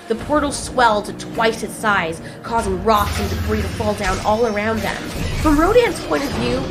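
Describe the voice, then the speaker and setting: Expressive woman narrating an audio drama. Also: on the high side, background noise. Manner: casual, expressive storytelling. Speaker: narrator and creator.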